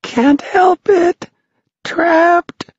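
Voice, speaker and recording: A man's voice: extremely high pitch, fairly even intonation, extremely slow, highs cut off, a little hiss or hum, pitched above the performer's own voice, full character voice. A man voicing a tiny, lost twelve-year-old boy trapped as a mummy in a storybook, crying out frantically in a small, mouse-like voice. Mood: desperate.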